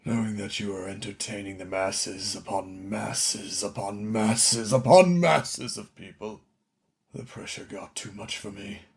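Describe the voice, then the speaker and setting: Creaky, theatrical washed-up kids' entertainer. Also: male voice, fairly low pitch. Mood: weary, confessional. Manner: dramatic, halting pleading and grand showman delivery. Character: washed-up older children's TV entertainer, contrite, theatrical. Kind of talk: radio play acting.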